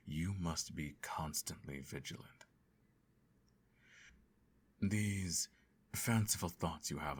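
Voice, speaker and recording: A man playing a stern, cold evil emperor in an audio roleplay, speaking to a child in a measured, imperious, formal way. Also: a bit bright, exaggerated voice. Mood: stern, instructive. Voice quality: very deep voice. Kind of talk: roleplay audio, bedtime storytelling and lecture.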